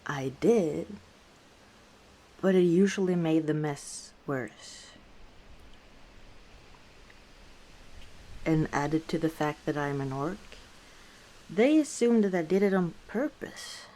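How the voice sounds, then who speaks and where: A battle-scarred orc tavern keeper, played by a woman, shares her past in an intimate ASMR roleplay. Her voice sits low for a woman and she speaks very slowly, pausing to reflect. She comes across as weary and guarded, with a gentle wry streak. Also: animated, a little hiss or hum. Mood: subdued, rueful. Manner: unhurried, reflective, hesitant pauses, soft chuckles.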